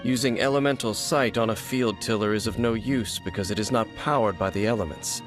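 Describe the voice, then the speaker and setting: An actor voicing a composed, secretive scholar who explains things in a measured way, in a video game cutscene. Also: male voice, lowish voice, lightly acted. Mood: grave. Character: calm, secretive man, a learned scholar. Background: game music.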